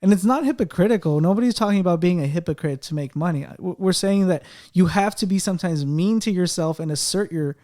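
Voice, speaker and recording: A man's voice: high-pitched, quick pace, hardly any pauses, dark tone. A man hosting a podcast in casual chat, with a slight stutter, talking animatedly and acting out what other people say. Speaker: podcast host.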